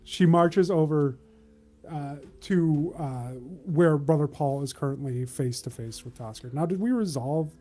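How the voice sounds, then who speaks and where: A man's voice: a little high, measured pace, mellow. A man casually narrating a tabletop game as its game master.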